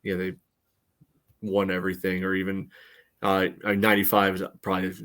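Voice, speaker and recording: Male podcast co-host and club historian, lower register, casual but considered, feels his way through each point. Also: monotone, a bit bright. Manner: halting, hedging, thoughtful. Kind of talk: casual sports podcast chat.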